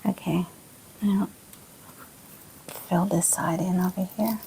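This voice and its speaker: Husky, hoarse woman, slow and low. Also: a little hiss or hum, poor audio. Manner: relaxed, soft-spoken, many fillers. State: hoarse, losing her voice. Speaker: plant channel host. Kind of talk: casual vlog chat while repotting. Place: indoor plant room.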